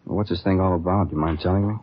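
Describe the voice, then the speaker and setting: A man's voice: low-pitched, fairly even intonation, very fast, slight background noise, lightly acted. A man playing a plain-spoken, guarded beat patrol officer in a 1950s radio drama, talking in casual, matter-of-fact police slang. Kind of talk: 1950s radio acting, conversational dialogue. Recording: dull, lo-fi old radio recording.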